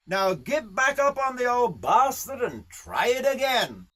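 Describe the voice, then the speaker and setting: A man performs a gruff, goading bushman boss from a memoir. The voice rides high and swings widely in pitch. He barks blunt, sweary orders at a drawn-out, unhurried pace. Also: very animated, full character voice. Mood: exasperated. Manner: loud, barking, swearing, blunt. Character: gruff, foul-mouthed Australian horse-riding boss, rough and goading.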